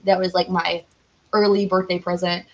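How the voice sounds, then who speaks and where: A woman's voice: on the low side, flat delivery, moderate pace, muffled recording. A young woman chatting casually and enthusiastically as a podcast guest, rambling and saying "like" often. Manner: enthusiastic, rambling, heavy use of "like".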